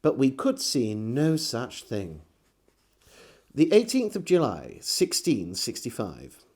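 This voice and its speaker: Slow, expressive English male storyteller. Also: mid-range voice, husky. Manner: measured, theatrical delivery. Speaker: storyteller and host. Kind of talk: dramatic diary reading, scripted narration.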